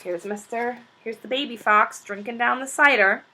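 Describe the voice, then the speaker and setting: A woman reading a children's book aloud as an expressive storyteller. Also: fairly high pitch, moderate pace. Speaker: story reader.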